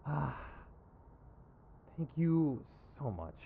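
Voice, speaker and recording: A man's voice: a little high, sing-song, drawn-out words, narrow, tinny audio, heavily acted. A man playing an exasperated but principled, protective villain in an audio roleplay, with a sardonic, emphatic, conversational delivery. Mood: exasperated, casual. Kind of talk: ASMR roleplay acting. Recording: clear background noise.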